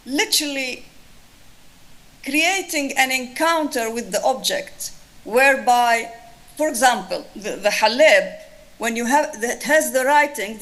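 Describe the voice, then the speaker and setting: A woman's voice: high-pitched, a bit bright. A woman with a Tunisian accent, a lecturer answering an audience question thoughtfully, with frequent restarts. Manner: thoughtful, restarts often, many fillers. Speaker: lecturer on Islamic art.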